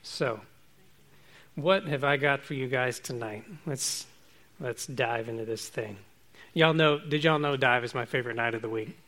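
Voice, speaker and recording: A man preaching a relaxed, informal sermon to his congregation, chatty and self-joking. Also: mid-range voice, moderate pace.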